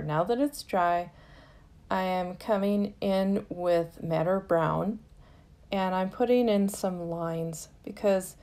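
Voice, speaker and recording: Slow-paced, mellow woman narrating a craft tutorial. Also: on the low side. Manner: unhurried, gently explanatory.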